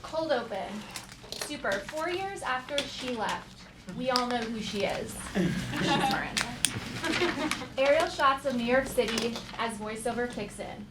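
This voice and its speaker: High-voiced woman reading stage directions, echoey. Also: expressive, unhurried. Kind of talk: live comedy table read narration. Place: theater stage, live show. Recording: echoey live theater, noisy room.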